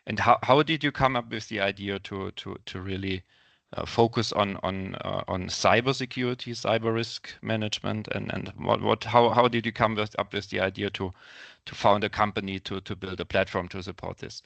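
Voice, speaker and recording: A man hosting a webinar, asking questions haltingly and repeating words as he forms them. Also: on the low side, gravelly, a bit bright, no echo. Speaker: webinar host, interviewer.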